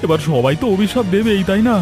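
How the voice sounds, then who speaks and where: A man's voice: high voice, animated, fast, music in the background. A man voicing an eager young village helper in a cartoon, earnest and coaxing. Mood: earnest, concerned. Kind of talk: cartoon voice acting.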